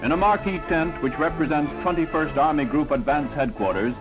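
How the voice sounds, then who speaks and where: A man's voice: on the high side, a little fast, rough recording. A man narrating a scripted wartime newsreel from the 1940s in a dramatic, triumphal, declamatory manner. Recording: vintage 1940s newsreel soundtrack. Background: newsreel music.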